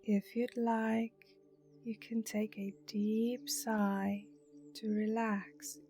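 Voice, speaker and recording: A woman narrating a guided meditation in a soothing, hushed manner with breathy phrase endings. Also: mid-range voice, flat delivery, extremely slow. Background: meditation music.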